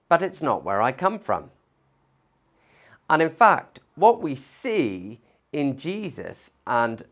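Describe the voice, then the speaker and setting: A man pastor giving a conversational Christmas sermon, thoughtful, with pauses and fillers. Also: mid-range voice. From not from Liverpool.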